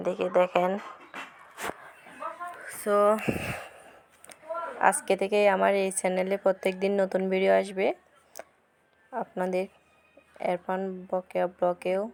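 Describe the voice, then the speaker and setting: Creaky-voiced woman narrating home cooking. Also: medium pitch, completely flat delivery, unhurried, long pauses. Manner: repetitive, explains each action to viewers as it happens. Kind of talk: casual step-by-step cooking narration. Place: village cooking spot with wind-blown fire. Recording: noisy home cooking recording.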